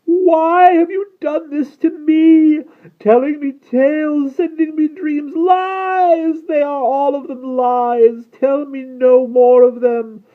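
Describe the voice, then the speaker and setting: An unhinged male vampire from a dark fantasy audiobook, pitched extremely high and strained. He swings wildly between coaxing and frantic command, cruel and desperate, through thin phone-like audio. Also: animated, unhurried, few pauses. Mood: furious, shrieking. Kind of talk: dramatic audiobook-style character reading.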